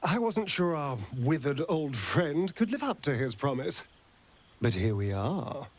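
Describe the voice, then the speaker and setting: A man's voice: a little high, big pitch swings, a little slow. A man playing a flamboyant, sardonic vampire rogue in a video game, with an arch, drawling delivery and a tender streak. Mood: wry, teasing. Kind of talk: theatrical video game character acting.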